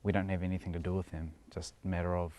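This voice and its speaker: A man's voice: low-pitched, flat delivery, rapid-fire, warm tone. A young man with a New Zealand accent, chatting casually in a TV interview and laughing often between his thoughts.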